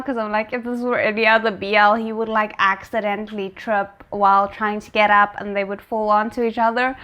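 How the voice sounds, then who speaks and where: A woman giving casual, expressive reaction commentary on a series, thinking aloud in an informal way. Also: a little high. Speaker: reaction video host.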